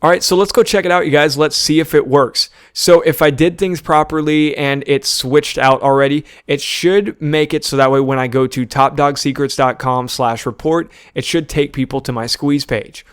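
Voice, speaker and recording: Clear-voiced man giving a casual tutorial. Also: a little high, steady tone, moderate pace, hardly any pauses, no room sound, studio quality. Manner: informal step-by-step instructing, often addressing viewers as "you guys". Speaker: tutorial presenter. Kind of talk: casual screen-share tutorial narration.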